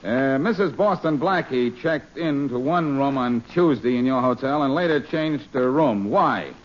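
An actor playing a cocksure, sardonic detective who questions people in a drawn-out, swaggering way, in 1940s radio drama style. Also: male voice, medium pitch, lively intonation. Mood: brusque, probing. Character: wisecracking, cocksure male detective on a murder case. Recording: muffled, noisy old-time radio recording.

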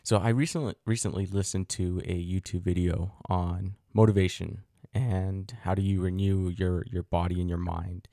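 A middle-aged man with a very low, mellow voice hosts a solo podcast. He talks thoughtfully, asks himself questions aloud, and pauses on fillers. The sound is dry and close. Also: level tone, vocal fry, dry recording. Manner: thoughtful, poses rhetorical questions, frequent fillers. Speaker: podcast host. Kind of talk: reflective solo podcast monologue.

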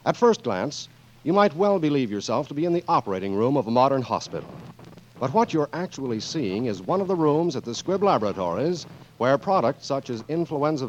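A man's voice: mid-range voice, a little hiss or hum. Polished 1940s American radio announcer, man. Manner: polished, emphatic, formal. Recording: dull old radio recording with music.

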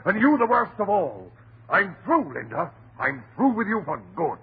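Wounded husband, very husky, swinging emphatically in pitch, melodramatic old-time radio style over tinny broadcast. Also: male voice, sing-song, normal speed, heavily acted. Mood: fed up. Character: exasperated, pleading, wounded working husband. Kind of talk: 1930s radio horror drama acting.

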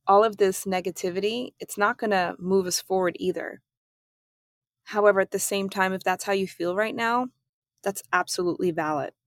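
A woman from Germany hosting her own podcast, talking through her thoughts in a calm, reflective solo monologue. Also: on the low side, gravelly, no echo, studio quality. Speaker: podcast host.

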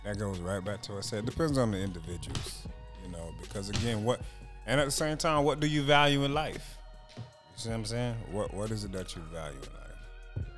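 Deep voiced guy, rambling host at a podcast table, music going in the background. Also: lively intonation, fairly quick. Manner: rambling, loose, repeats "you see what I'm saying". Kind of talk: casual chat. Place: small room, group podcast around a table.

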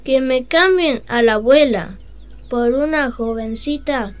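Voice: sits high, takes it slowly, soft background music. A performer voicing a cheeky, whiny big bad wolf in a comic fairy-tale audio drama. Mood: whiny, demanding.

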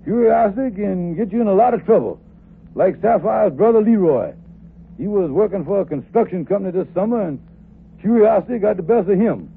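A pompous, folksy schemer for old radio comedy. His voice is high and very husky, swinging widely as he holds forth in grandiose, mangled grammar. It comes through a tinny vintage broadcast. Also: animated, brisk, full character voice. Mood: smug, wry. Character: pompous, sly male lodge leader and blowhard schemer. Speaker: co-host of a radio record show. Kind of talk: 1950s radio comedy acting.

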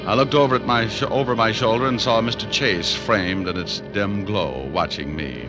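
A man playing a suave, wisecracking hard-boiled private detective in radio drama style, with a sardonic, clipped, quick-witted delivery. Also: fairly low pitch, lo-fi sound, over music, lightly acted. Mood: wry narration. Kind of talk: 1940s-50s radio drama acting.

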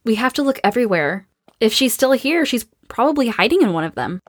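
Determined post-apocalyptic survivor, blunt, commanding, higher pitch, close dry recording, audio drama. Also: female voice, very animated, brisk, no echo. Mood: wary, blunt.

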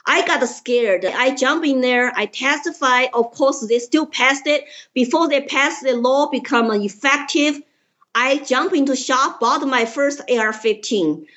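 A woman with a Chinese accent tells her story in a slightly high voice, earnest and forceful in her emphasis. The audio is dull and lo-fi, as if muffled. She speaks in plain, direct sentences. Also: unhurried. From China. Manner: earnest, emphatic, simple grammar. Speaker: political candidate, guest. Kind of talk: interview storytelling.

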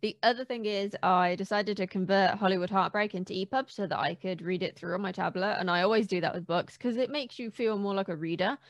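A woman's voice: medium pitch, a little fast, few pauses, no echo. A woman co-hosting a podcast in casual, conversational chat. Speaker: podcast co-host.

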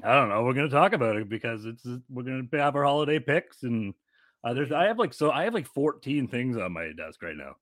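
A man hosting a laid-back podcast. His voice swings widely in pitch as he rambles and restarts, and he giggles through his sentences. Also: very animated, fairly quick, a bit bright, no room sound, studio quality. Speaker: podcast co-host. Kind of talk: casual chat.

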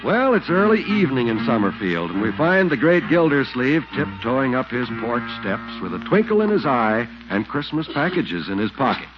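A man's voice: mid-range voice, sing-song, normal speed, few pauses. An American man reading scripted sponsor copy and scene narration as a 1940s radio announcer. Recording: muffled 1940s radio recording over music. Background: loud music.

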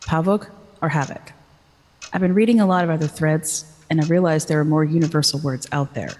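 An actor voicing an earnest internet forum poster, explaining in a casual, questioning way with a husky creak. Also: mid-range voice, steady tone, fairly quick, darkish tone, natural voice. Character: earnest online forum poster theorizing about a demon. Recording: long cavernous reverb.

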